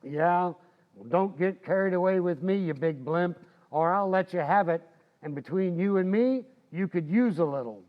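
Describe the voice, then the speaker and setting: A man acts out a folksy, wisecracking husband in an old radio comedy. His voice sits high, cocky and stubborn. The stage recording is a bit muffled. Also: moderate pace, close to an everyday voice. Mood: cocky, combative. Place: community playhouse stage, live recreation.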